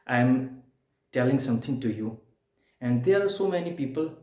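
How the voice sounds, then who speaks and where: A man from India with an Indian accent and Hindi as his first language, giving a spoken-English lesson in a repetitive way, with rhetorical questions and emphatic pauses. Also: medium pitch, animated, a little mellow. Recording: echoey room.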